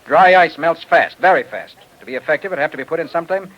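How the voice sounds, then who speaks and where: Fast-talking, commanding radio detective. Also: male voice, on the high side, expressive, a bit bright, muffled recording. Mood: confident, commanding. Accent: American. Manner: crisp, assured, explanatory. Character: shrewd, brisk, commanding male private detective. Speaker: lead actor in a detective radio series. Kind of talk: old-time radio drama acting. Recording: old radio show recording.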